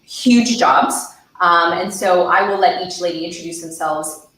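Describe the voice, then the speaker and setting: A woman's voice: on the low side, unhurried, a bit bright. A woman hosting a video webinar, upbeat and chatty, with many ums. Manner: upbeat, filler-heavy. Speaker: webinar host. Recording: echoey room, distant mic.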